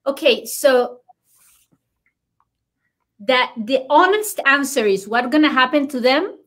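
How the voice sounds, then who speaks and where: A woman's voice: a little high, fairly bright tone. A woman immigration lawyer, a native Spanish speaker with a Spanish accent, explaining legal news in an interview emphatically and reassuringly, repeating key points.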